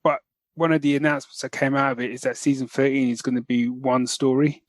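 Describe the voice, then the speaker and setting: A man co-hosts a podcast in a very dry, close-sounding recording. His mid-pitched voice is informal and colloquial. He talks as in casual chat, often turning to check that he is followed. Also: level tone, fast, dry recording. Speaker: podcast co-host. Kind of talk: casual chat about geek news.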